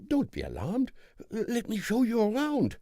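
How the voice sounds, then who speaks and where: Man acting a high-pitched, eager gatekeeper. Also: wildly swooping pitch, measured pace, gravelly, dark tone, no echo, clean studio sound, full character voice. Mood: eager welcome. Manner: welcoming, reassuring. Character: eager male architect, gatekeeper of a secret facility. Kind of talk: audiobook character voice.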